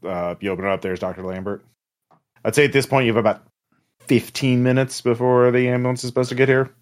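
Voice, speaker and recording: A man's voice: medium pitch, fairly even intonation, fast. A man speaking as game master, narrating a tabletop game in an improvised, conversational way.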